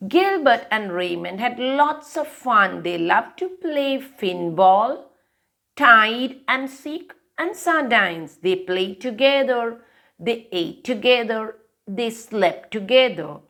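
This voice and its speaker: A woman's voice: a little high, animated, slow, fairly bright tone. A woman hosting a children's storytime, reading a picture book aloud and pointing out the pictures.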